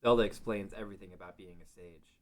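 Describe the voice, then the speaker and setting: Low-voiced man narrating a fantasy story. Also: fairly even intonation, quick pace, darkish tone. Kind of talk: scripted story narration in a table read.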